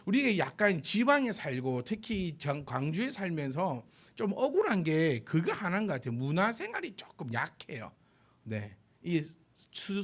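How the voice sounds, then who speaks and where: Korean pastor guy in his 40s preaching, kinda high voice, really animated, chatty and self-deprecating. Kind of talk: sermon, conversational and anecdotal.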